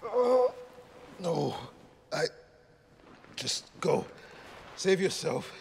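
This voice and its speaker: An actor playing a wounded middle-aged American police lieutenant in a video game, stoic and protective, speaking in a strained, earnest way. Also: male voice, a little high, very animated, extremely slow, gravelly, slight background noise, exaggerated voice. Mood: pained, pleading. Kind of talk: video game cutscene acting.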